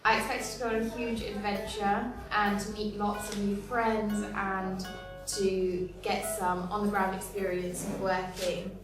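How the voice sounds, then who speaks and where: A woman in her twenties calmly and articulately answering interview questions. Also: mid-range voice, a little slow, music in the background. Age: 20s. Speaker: returned volunteer. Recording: echoey room from far off.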